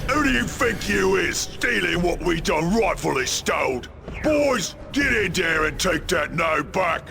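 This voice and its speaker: An actor voicing a brash, brutish ork warboss in a video game, with a gravelly Cockney bark and rough slang. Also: male voice, sits high, sing-song, unhurried, heavily acted. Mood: irate. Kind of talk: video game cutscene acting. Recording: loud music under voice.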